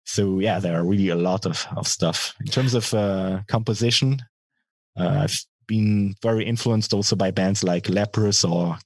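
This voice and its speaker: A young adult man with a bass voice, a guitarist and singer answering interview questions thoughtfully, with many pauses and fillers. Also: steady tone, dry recording. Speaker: guitarist and vocalist, guest.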